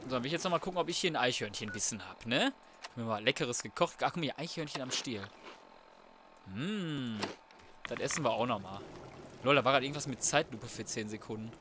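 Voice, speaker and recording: A man's voice: mid-range voice, expressive, fairly quick, noisy background. A man giving casual, slangy and crude commentary on a video game, talking to himself as he plays. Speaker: let's play gamer.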